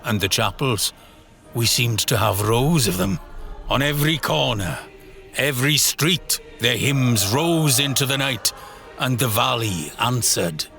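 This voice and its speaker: A man narrating a horror audio drama in a brooding, theatrical way, in short halting phrases. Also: medium pitch, expressive, creaky, pushed and bright. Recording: music bed under the voice. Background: music.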